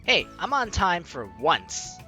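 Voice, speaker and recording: A man's voice: high voice, huge pitch swings, takes it slowly, pushed and bright. A young man playfully reading a visual novel aloud and commenting on it as a let's play host, with game music behind him. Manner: playful, theatrical, jokey reactions.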